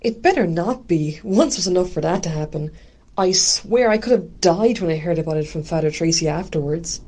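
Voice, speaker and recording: A woman's voice: on the low side, moderate pace, dark tone, close to an everyday voice. A woman playing a helpful Irish parish committee member in a radio drama. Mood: scandalised. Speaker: actor in an amateur radio drama.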